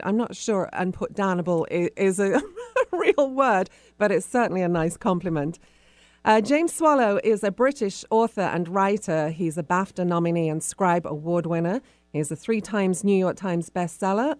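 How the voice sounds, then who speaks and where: A woman's voice: medium pitch, darkish tone, no room sound. A woman hosting a radio talk show in a conversational interviewing style.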